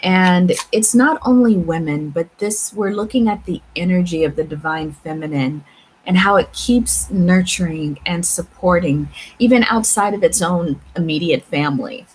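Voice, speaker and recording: A woman tarot reader speaking reflectively and haltingly to her viewers, repeating phrases for emphasis as she gives intuitive spiritual guidance. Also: lowish voice, a little mellow, slight background noise, rough recording. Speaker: tarot reader and channel host.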